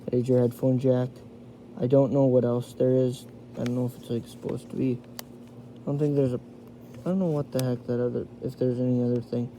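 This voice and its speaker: Halting man reviewing a gadget, noisy recording. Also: medium pitch, little pitch movement, dark tone. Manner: halting, thinking aloud while demonstrating. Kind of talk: casual hands-on product review. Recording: noisy homemade recording with radio static.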